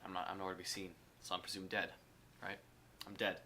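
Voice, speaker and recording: A young man co-hosting a casual podcast with friends, telling a long animated story and laughing often. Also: deep voice, quick pace, a little hiss or hum. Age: young adult. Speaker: podcast co-host. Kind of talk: casual chat among friends.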